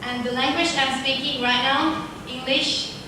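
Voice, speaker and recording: A woman's voice: high voice, steady tone, unhurried. A teenage girl with a Thai accent, her first language Thai, giving a careful, formal, recited speech at a student speaking contest. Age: teens. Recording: echoey hall from far off, noisy.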